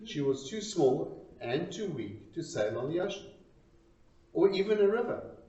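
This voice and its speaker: A man reciting a storytelling poem in a dramatic, expressive and deliberate way, pausing between short phrases. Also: on the high side. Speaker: reader of a poem. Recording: roomy, distant, dull lo-fi sound.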